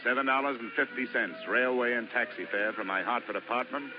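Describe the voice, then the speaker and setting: A man's voice: medium pitch, fairly even intonation, fairly quick, close to an everyday voice. An actor playing a wry, hardboiled male insurance investigator, narrating in a dry, wisecracking 1940s radio drama style. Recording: muffled 1940s radio recording with music.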